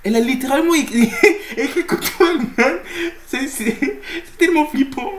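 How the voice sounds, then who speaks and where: A young man giving casual, excitable reaction commentary on a horror game, halting and often repeating his words. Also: very high voice. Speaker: gaming video host.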